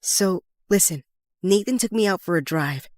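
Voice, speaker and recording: A woman playing a spirited, headstrong teenage schoolgirl with an expressive, blunt delivery. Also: mid-range voice, normal speed, no echo, clean studio sound, lightly acted. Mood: pleading.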